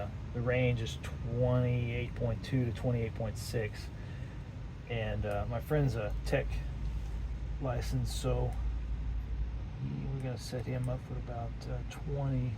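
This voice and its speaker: Man giving a hands-on demo, very noisy outdoors. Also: mid-range voice. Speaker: amateur radio hobbyist demonstrating gear. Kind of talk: casual off-the-cuff hands-on demonstration. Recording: loud outdoor wind and ambient noise.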